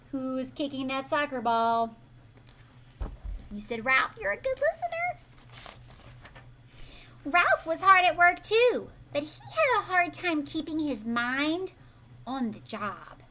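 High-voiced American woman reading to children. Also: a little hiss or hum. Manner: animated, prompting listeners with questions. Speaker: teacher reading a picture book aloud to children. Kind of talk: expressive children's read-aloud with asides to the listener. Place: living room at home, indoors.

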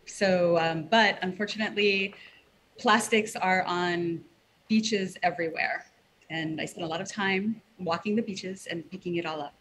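A middle-aged woman talks casually and warmly as a guest over a video call. She is heard through a headset with a faint hiss of noise behind her. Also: on the low side, steady tone, measured pace. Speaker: guest expert.

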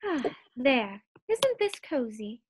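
A woman's voice: high voice, very animated, slow pace, slightly rough, full character voice. A woman playing a sassy, playful, easily exasperated wife in an old-time radio sitcom style. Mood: coaxing, playful.

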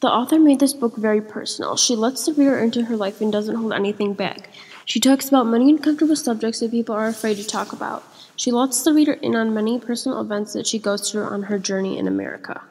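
A young woman hurries through a student book report at a fast clip. Her voice is breathy and a little high. A long reverb trails behind her. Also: darkish tone. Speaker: student presenter. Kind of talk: reading a book report aloud. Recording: echoey room with long reverb.

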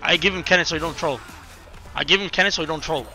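Bright, sharp-voiced young male game streamer. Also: a little high, faint music behind. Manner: repeats short commands rapidly, slangy and sweary. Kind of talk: casual live game commentary and team callouts. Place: indoors in a furnished room.

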